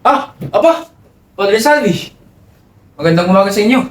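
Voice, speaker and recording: A man's voice: high-pitched, animated, moderate pace. Man acting a pompous, volatile colonial lieutenant, echoey room. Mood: fawning. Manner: boastful, demanding, theatrical. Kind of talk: theatrical dramatic monologue.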